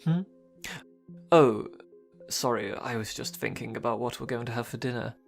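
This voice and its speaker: A woman playing a calm, probing, quietly mysterious companion in an audio drama, speaking in a measured, deliberate way. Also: a bass voice, gravelly, soft background music. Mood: mild, musing. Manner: measured, softly curious, deliberate.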